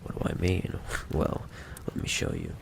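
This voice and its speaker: A man playing a menacing, possessive mafia boss in an ASMR roleplay monologue, with a low, gravelly voice and a deliberate, coolly condescending delivery. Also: a little hiss or hum, lightly acted. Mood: teasing menace.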